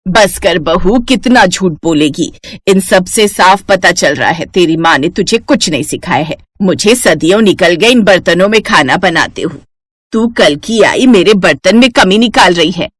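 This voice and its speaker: A woman acting a sharp-tongued older mother-in-law. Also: fairly low pitch, animated, unhurried, husky, no echo. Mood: scornful. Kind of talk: dramatized Hindi moral story.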